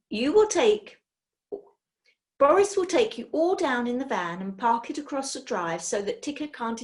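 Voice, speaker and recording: A severe, bossy witch gives commands in a high and emphatic voice, as a woman puts her on. She is an impatient, self-important storybook figure who expects to be obeyed.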